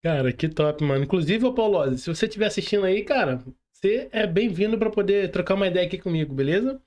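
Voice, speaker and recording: High-voiced Brazilian man hosting a casual podcast. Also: lively intonation, fast talker, a little mellow. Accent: Brazilian Portuguese. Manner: informal, slangy, addresses listeners directly. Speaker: podcast host.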